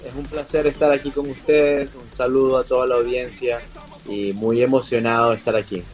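A man's voice: medium pitch, level tone, moderate pace. Venezuelan man, hesitant, full of fillers. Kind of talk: interview over a video call. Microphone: video call.

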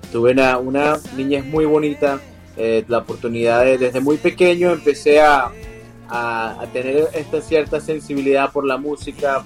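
Young Venezuelan male singer, remote guest, hesitant and filler-heavy, soft music under him. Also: medium pitch. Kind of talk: interview over a video call. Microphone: video call.

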